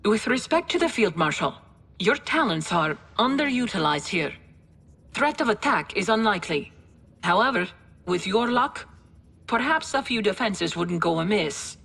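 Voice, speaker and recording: An actress playing a dry, wry female military adviser with a husky voice, briefing in a matter-of-fact way in a game cutscene. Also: mid-range voice, very animated, normal speed, a bit bright, full character voice. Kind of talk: video game cutscene acting.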